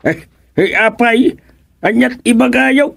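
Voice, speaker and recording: A man doing a fussy, excitable old villager. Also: very high voice, slow pace, vocal fry, heavily acted. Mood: fussing. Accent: Ilocano. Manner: exclamatory, pleading, animated. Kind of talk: radio drama acting.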